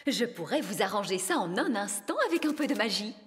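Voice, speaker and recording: A woman's voice: medium pitch, lively intonation, a little fast, in a large hall, soft background music, lightly acted. A woman voicing a haughty, dry-witted fairy nanny in a French cartoon, with a superior, sardonic manner. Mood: smug. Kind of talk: French cartoon dub acting.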